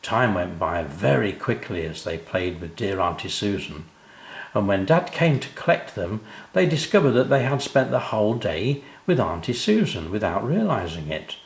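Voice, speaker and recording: An older man narrating his own children's story in an expressive storytelling style. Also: on the low side, moderate pace, vocal fry, echoey room, low-quality recording.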